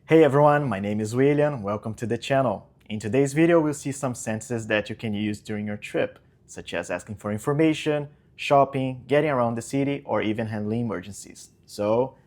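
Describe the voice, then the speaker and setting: Brazilian-accented male English teacher, mid-pitched, patient and clear, repeats phrases for learners. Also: brisk, hardly any pauses, darkish tone. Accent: Brazilian Portuguese. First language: Portuguese. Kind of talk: English lesson.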